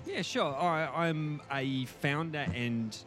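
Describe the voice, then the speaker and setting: A man's voice: fairly high pitch, slow pace, a bit bright. Casual Australian man with faint music behind. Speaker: guest podcaster and film reviewer. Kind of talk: casual podcast chat.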